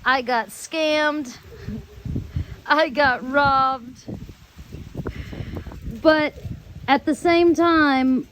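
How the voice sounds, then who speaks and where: An American woman telling rambling personal stories in drawn-out, halting phrases with long pauses. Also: sits high, fairly bright tone, slight background noise, rough recording. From United States. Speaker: storyteller host.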